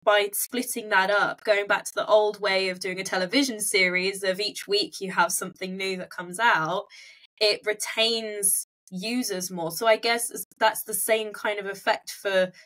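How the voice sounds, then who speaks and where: A woman with a medium-pitched voice hosts a casual interview. She talks reflectively, feeling her way through a point in conversational phrasing. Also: steady tone, normal speed, a bit bright, low-quality recording. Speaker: podcast host, interviewer.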